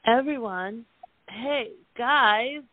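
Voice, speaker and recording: A woman's voice: on the high side, drawn-out words, no echo. A woman playing a friendly, peace-and-love friend in a farcical radio play, placating and drawing out her words. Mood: cheerfully introducing. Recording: tinny phone-like audio.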